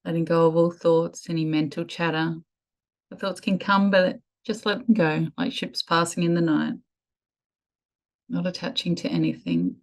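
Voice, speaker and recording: A woman leading a guided meditation in a soothing, measured way with lingering pauses. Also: on the low side, lively intonation.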